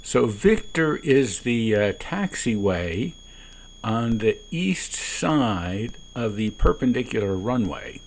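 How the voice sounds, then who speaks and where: A man who is a pilot, giving calm explanatory commentary on his own flight from the cockpit of a small plane. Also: mid-range voice, slow. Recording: noisy small-plane cockpit, radio transmissions.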